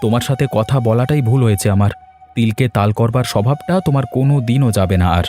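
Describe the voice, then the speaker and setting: Cartoon husband, male actor: quick pace, mild henpecked grumble, doting dad, music bed. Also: mid-range voice, dark tone, natural voice. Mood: exasperated. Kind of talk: Bengali cartoon story acting.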